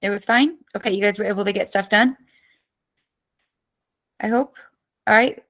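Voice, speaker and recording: A woman's voice: mid-range voice, normal speed, fairly bright tone. A woman in her thirties teaching a live online class, chatty and greeting students by name, with her voice cracking as she loses it.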